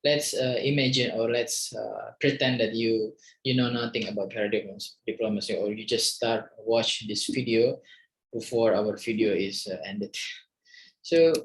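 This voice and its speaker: A man's voice: on the low side, fairly even intonation, a little slow, rough recording. A man in his thirties with an Indonesian accent, lecturing for an online video in a hesitant way, with many fillers and restarts. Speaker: university lecturer. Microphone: video call microphone.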